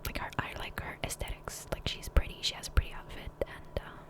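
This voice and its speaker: A woman speaking in a soft, breathy whisper close to the microphone, rambling casually and self-deprecatingly as an ASMR gaming creator. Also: a little fast. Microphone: close USB condenser microphone.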